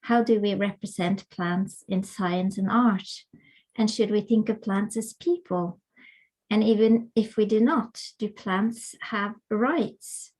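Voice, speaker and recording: A woman's voice: medium pitch, slow, a little mellow, low-quality recording. A woman with a mid-range voice opens an academic webinar over a video call. She speaks with many ums and uhs, stopping often to find her words. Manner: hesitant, many fillers. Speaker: webinar host and co-editor. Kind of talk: academic panel introduction.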